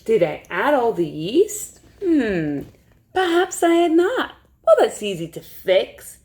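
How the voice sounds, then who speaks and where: Animated high-voiced woman reading a children's story. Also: slow pace. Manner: expressive, hushed suspense, asks listeners questions. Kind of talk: animated read-aloud of a rhyming picture book.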